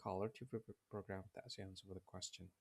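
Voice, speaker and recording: Man with a gravelly voice hosting a quiz explainer. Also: little pitch movement, heavy background noise. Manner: low-key, halting reading with restarts. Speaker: quiz channel host.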